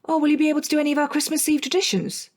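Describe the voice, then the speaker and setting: A woman's voice: high-pitched, flat delivery, rapid-fire, a little mellow, natural voice. An actress with a British accent playing a blunt, sweary young woman in a naturalistic audio drama. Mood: worried, annoyed. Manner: informal, exasperated, sweary. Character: Rachel, blunt, sweary young woman missing her partner.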